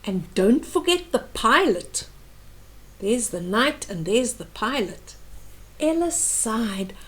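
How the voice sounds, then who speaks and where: Older female storyteller for children's picture books: high-pitched, very lively intonation, expressive read-aloud with explanatory asides. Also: takes it slowly.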